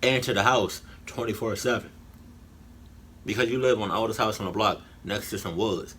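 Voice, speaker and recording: A man giving casual, rambling reaction commentary in colloquial slang, haltingly and often repeating his phrases. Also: fairly low pitch, steady tone, a bit bright, slight background noise. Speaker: reaction video host.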